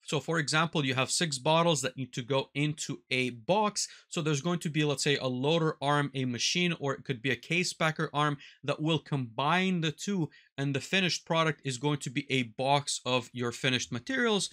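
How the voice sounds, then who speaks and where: Man, 30s, bright voice, methodical tutorial explainer, headset mic, dry close sound. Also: fairly high pitch, normal speed, hardly any pauses, no echo. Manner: explanatory, methodical, walks through steps aloud. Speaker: automation instructor. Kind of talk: screen-recorded software tutorial. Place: small workshop room with control panels.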